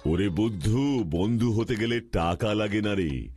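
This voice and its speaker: Slow, kindly cartoon strongman. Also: on the low side, expressive, a little mellow, slightly rough. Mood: calm, friendly. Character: kindly strong superhero big brother, calm and knowing. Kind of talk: Bengali children's cartoon dubbing. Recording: music behind the voice.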